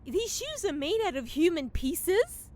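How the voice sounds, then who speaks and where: A woman's voice: very high voice, very animated, measured pace, a little mellow, heavily acted. A woman playing a prim, knowing forest fairy, theatrical and disapproving, in a fantasy comedy audio drama.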